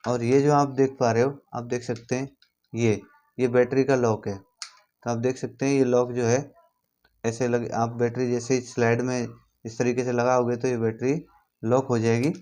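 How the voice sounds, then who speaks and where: A man's voice: mid-range voice, flat delivery, normal speed, mellow. A man with an Indian accent giving an informal hands-on tutorial, repeating himself and checking in with the listener as he instructs. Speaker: instructor demonstrating equipment.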